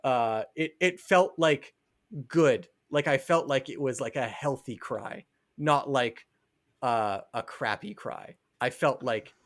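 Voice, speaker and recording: A man co-hosts a casual podcast in a mid-pitched voice. He rambles conversationally, leaning on filler words as he goes. The sound is dry and close. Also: slow pace, fairly bright tone, no echo. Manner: rambling, filler-heavy conversational delivery with frequent "like". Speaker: podcast co-host. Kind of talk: casual chat.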